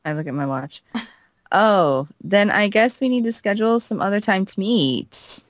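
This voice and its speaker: A woman's voice: mid-range voice, lively intonation, moderate pace, no room sound, clean studio sound. A woman co-playing a game, reading dialogue aloud in a casual way and chatting between lines. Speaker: co-player reading a character's lines.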